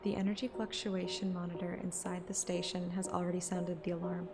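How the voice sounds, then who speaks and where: A woman narrating a scripted present-tense story in a measured, descriptive way. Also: lowish voice, flat delivery, creaky. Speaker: audiobook narrator and streamer. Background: music.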